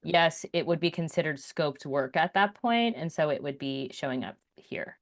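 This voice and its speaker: A woman's voice: low-pitched, expressive, moderate pace. A woman moderating a webinar, informally reading out attendee questions, summing them up and directing where they should go.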